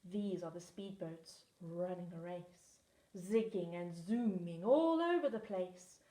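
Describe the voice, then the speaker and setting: Slow-reading woman in an echoey room. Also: fairly low pitch, dark tone. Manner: rhythmic, lilting rhyme reading. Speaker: teaching assistant. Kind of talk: storybook read-aloud.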